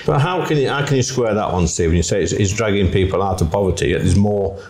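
A man's voice: on the low side, fast talker, a little mellow. A middle-aged man from Nottinghamshire, hosting a radio interview and bluntly pressing his guest with questions. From North Nottinghamshire, England. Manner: blunt, pushes back with challenging questions. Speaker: radio host.

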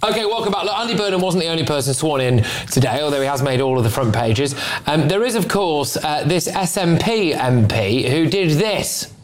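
Male panel host, voice on the higher side, mocking and chatty. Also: lively intonation, fairly quick, few pauses. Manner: mocking, sarcastic. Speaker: host of a topical panel show. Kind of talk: casual opinionated panel banter.

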